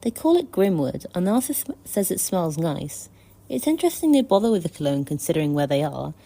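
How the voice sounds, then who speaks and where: A woman reading prepared fan commentary in a measured, articulate and thoughtful way. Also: lowish voice, big pitch swings, warm tone, husky. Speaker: listener contributor sending a voice message.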